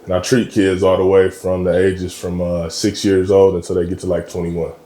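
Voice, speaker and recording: A very low, dark-voiced man in his thirties from Michigan chats easily as a podcast guest. He talks in a relaxed, slangy way, dropping frequent ums. The sound bounces around an echoey room. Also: normal speed. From Michigan, USA. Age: 30s. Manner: laid-back, slangy, lots of ums. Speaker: guest, college basketball coach. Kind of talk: casual interview chat.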